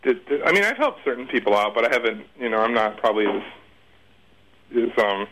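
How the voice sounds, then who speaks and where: A man's voice: medium pitch, big pitch swings, fairly quick, pushed and bright, slight background noise, poor audio. A man speaking as a guest in a casual interview, talking in a rambling, hesitant, informal way with some slang.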